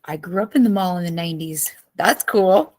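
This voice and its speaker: A woman's voice: lowish voice, normal speed. A woman in her thirties teaching a live online class, chatty and greeting students by name, with her voice cracking as she loses it.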